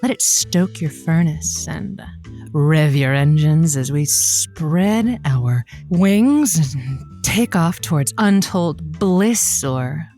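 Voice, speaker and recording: A woman plays a seductive late-night love advice hostess in an audio drama. Her voice sits on the low side, and she draws her words out in a teasing, theatrical way, with music behind her. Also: expressive, dark tone. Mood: sultry, inviting. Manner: slow, theatrical, playful drawl. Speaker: radio call-in host. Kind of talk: scripted audio drama, seductive radio monologue.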